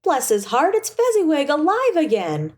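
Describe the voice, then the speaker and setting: An old man in a storybook read by a woman, excitable and wistful. The voice lifts high as he exclaims with open emotion. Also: moderate pace. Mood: excited, fond. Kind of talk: storybook character voice.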